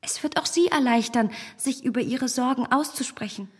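An actress playing a self-possessed, impatient young gentlewoman in a period radio drama, polite, articulate and insistent. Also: high voice, moderate pace, breathy edge, in a large hall. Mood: anxious, insistent.

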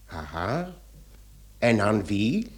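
Excitable, blustering police commissioner with swooping pitch. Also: male voice, extremely slow, full character voice. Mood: stern, interrogating. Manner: theatrical, exclamatory, grumbling. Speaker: actor in a radio play. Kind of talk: Dutch-language radio play acting.

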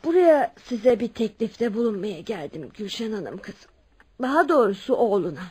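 An actress playing a wealthy, kindly widow near seventy in a Turkish radio drama, frank and dignified. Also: female voice, mid-range voice, sing-song, moderate pace, dark tone, husky. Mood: earnest, resolute. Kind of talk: Turkish radio theatre acting.

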